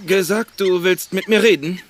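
An actor playing a casual, forgetful gentleman in a retro crime radio play. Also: high-pitched, normal speed, close to an everyday voice. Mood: offhand. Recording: clear background noise.

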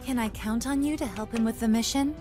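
An actress playing a caring mother on a space expedition in an animated film, speaking gently and coaxingly. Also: a little high, fairly quick, natural voice. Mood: gentle, coaxing. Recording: music behind the voice.

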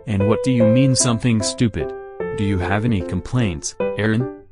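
A low male voice a man acting out a stern army corporal in a comic dub. He is curt and blunt, giving orders in a deadpan way. Loud keyboard music runs underneath. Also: moderate pace, lightly acted. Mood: irritated.

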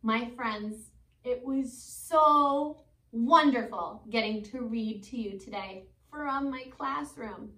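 A woman in her twenties doing an expressive, sing-song read-aloud for young children, as a teacher who asks questions and waits for answers. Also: on the high side, takes it slowly. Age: 20s. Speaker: preschool teacher. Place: indoor school classroom. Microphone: camera a few metres away. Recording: echoey room, distant microphone.

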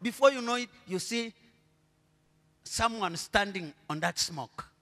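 A middle-aged Zambian pastor preaches in a high, lively voice, asking the congregation to fill in his words. He drops to low murmurs, then rises to loud, stretched-out proclamations. His handheld mic carries a long reverb from the church hall. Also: unhurried. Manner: preaching with call-and-response questions to the congregation. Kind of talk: sermon. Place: church stage, indoors.

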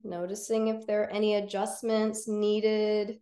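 A woman teaching yoga nidra, guiding relaxation in a gentle, soothing, unhurried manner with long pauses. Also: mid-range voice, monotone, reverberant. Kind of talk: guided relaxation meditation.

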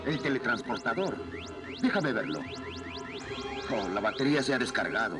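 Un actor con acento latinoamericano que interpreta a un soldado inventor maduro y serio en una caricatura doblada. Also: male voice, medium pitch, expressive, moderate pace, lightly acted. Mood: earnest, urgent. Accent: Latin American Spanish. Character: middle-aged male soldier-inventor, earnest. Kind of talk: dubbed 1980s cartoon acting. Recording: loud music behind dubbed cartoon dialogue.

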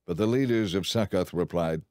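A man narrating a dramatized audio Bible in a measured, clearly enunciated storytelling style. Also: deep voice, dark tone, no room sound.

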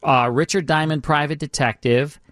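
A man's voice: fairly high pitch, steady tone, normal speed, fairly bright tone, dry recording, clean studio sound. A man with an American accent hosting a radio show, chatting informally and repeating himself now and then. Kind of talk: casual radio banter and show promos. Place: radio studio.

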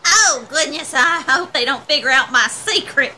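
A woman's voice: high voice, animated, normal speed, pushed and bright, slight background noise, heavily acted. A woman voicing a dotty, forgetful, folksy old lady who drawls in a muddled way in improvised comedy.

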